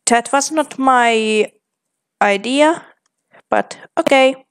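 A young woman reads her own typed chat messages aloud, one careful word at a time. Her voice sits a little high for a woman, and her delivery is very slow and deliberate. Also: clean tone. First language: Finnish. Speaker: vlogger. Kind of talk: casual commentary reading chat aloud.

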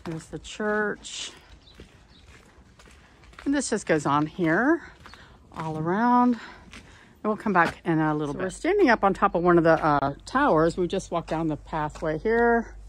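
Woman guiding a walk thru old streets, chatty, lowish voice, bit of street noise. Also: lively intonation, unhurried, long pauses. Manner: chatty, pointing out sights. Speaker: tour guide. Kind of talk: walking tour narration. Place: outdoors on old town streets.

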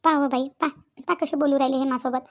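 A woman's voice: sits high, fairly even intonation, racing. A woman playing an aggrieved middle-aged village mother-in-law in a cartoon comedy, complaining repetitively in a self-pitying way, with a Vidarbha Marathi accent. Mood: defensive complaint. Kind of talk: cartoon family comedy dialogue.

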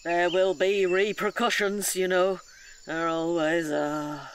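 A male actor playing a calm, weary, gently chiding caretaker in an audio drama. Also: high voice, takes it slowly, exaggerated voice. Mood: dismayed. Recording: audible outdoor nature noise.

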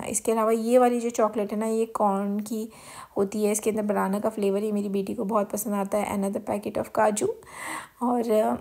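A woman's voice: mid-range voice, darkish tone. A woman with a Pakistani accent giving a casual, chatty home-vlog voice-over, explaining things and mixing in English words. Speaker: home vlogger. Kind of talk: casual grocery haul voice-over.

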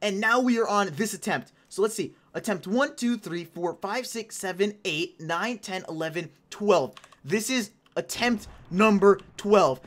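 A young woman in her late teens talks her way through a game with a chatty, excitable, rambling energy. Her voice sits at an ordinary middle pitch and carries a slight hoarseness. She sounds like a casual let's-play host chatting to her viewers. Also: a little slow, few pauses. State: a little hoarse. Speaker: gaming channel host. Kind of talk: casual gameplay commentary.

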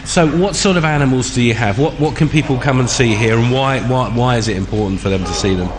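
A man's voice: mid-range voice, fast. A man reporting for radio from a farm, asking conversational questions with short restarts. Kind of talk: radio field interview. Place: outdoors on a farm. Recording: outdoor field recording with background noise.

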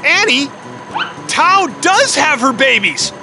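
A man voicing a goofy, big but timid talking dog with a husky voice in a children's cartoon. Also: very high-pitched, very animated, slow, over music, full character voice. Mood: worried.

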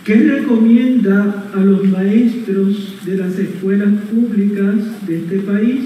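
A man in the audience asking a question slowly and formally. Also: high voice, a loud crowd, very noisy. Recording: distant, echoey, dull church recording.

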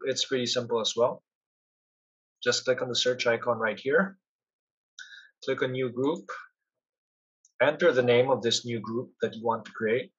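A man presenting a product demo, giving step-by-step instructions in a software tutorial. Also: mid-range voice, husky, muffled recording. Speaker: product demonstrator.

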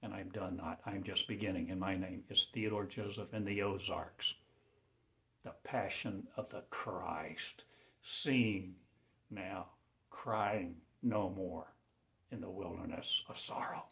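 Guy doing a slow solemn preacher-type ramble, kinda low voice, halting bits, drops to near whispers a lot. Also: steady tone, gravelly, fairly bright tone. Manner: solemn, halting declarations in short fragments. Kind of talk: rambling spiritual proclamation.

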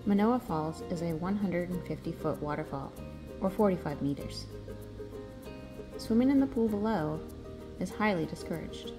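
A woman hosting a travel vlog, reading scripted narration in a measured, informative way. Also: lowish voice. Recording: loud music under the voice. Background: music.

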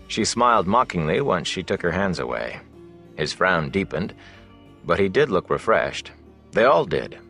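A man narrating a fantasy novel as an audiobook, in a measured, expressive storytelling manner. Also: low voice.

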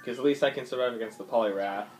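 A man's voice: medium pitch, very fast, low-quality recording. A man giving casual, swearing gameplay commentary, thinking aloud with lots of ums as he plays. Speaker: gaming YouTuber. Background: faint game music.

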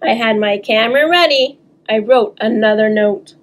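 A woman in her thirties reading aloud expressively for children's story time, animated and talking directly to young listeners. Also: fairly high pitch, normal speed. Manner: animated, talks to young listeners, draws words out. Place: small indoor room.

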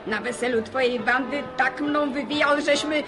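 An actress playing a sharp-tongued, gossipy middle-aged village wife in a radio drama. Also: female voice, sits high, normal speed, close to an everyday voice. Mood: amused. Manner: needling, gossipy.

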